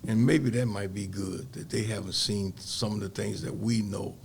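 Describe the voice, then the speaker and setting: Gravelly older man. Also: on the low side, fairly even intonation, normal speed, a little mellow, a little hiss or hum. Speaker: guest, community organizer. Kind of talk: podcast interview.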